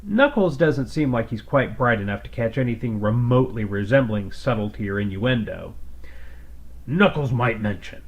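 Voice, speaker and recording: A man with a medium-pitched voice reads scripted story narration. He serves as the narrator, describing scenes in prose. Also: animated, moderate pace, few pauses, highs cut off.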